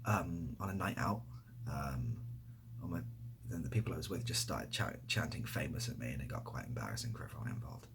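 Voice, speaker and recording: A young man with an English accent chatting softly in an ASMR style, hesitant and rambling, often dropping to a near-whisper. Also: extremely low pitch, expressive, a little fast, vocal fry, noisy background. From near Bath, England. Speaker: ASMR video creator. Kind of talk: softly spoken ASMR casual chat answering questions.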